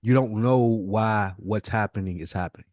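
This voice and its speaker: Low-voiced man chatting on a podcast. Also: normal speed, dry recording, studio quality. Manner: animated, slangy, profane. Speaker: podcast host. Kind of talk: casual hip hop podcast chat.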